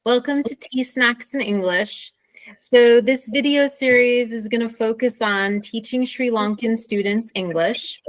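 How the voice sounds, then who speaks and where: A woman's voice: on the high side, fairly even intonation, a little slow, no room sound, rough recording. An American woman co-hosting an informal English lesson in a conversational teaching style. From Pennsylvania, USA.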